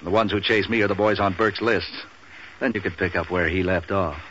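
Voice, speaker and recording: An actor playing a cynical, wisecracking man for hire in a deadpan, slangy 1940s radio noir style. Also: low-pitched, a little fast. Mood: cool, needling. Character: wisecracking, hard-boiled male man for hire. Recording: dull, slightly noisy old radio recording.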